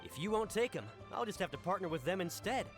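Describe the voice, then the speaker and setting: High, bouncy, pushy fruit seller over game music. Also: male voice, animated, fast talker. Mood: wheedling. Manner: wheedling sales pitch.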